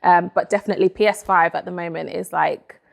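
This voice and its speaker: A young woman from Nigeria, an interview guest in a dark studio, speaking earnestly and with self-assurance on a lapel mic. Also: lowish voice, fairly even intonation, fast talker, vocal fry. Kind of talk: casual interview chat. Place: dark studio set, indoors.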